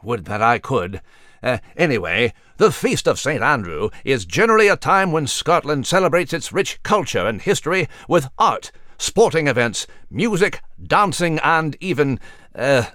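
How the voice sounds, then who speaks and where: Casting: prim mouse newsreader, lively intonation, formal and long-suffering, dry studio sound. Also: male voice, on the high side, moderate pace, dry recording. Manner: formal, erudite, fussy. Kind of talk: mock newscast in comedic audio drama.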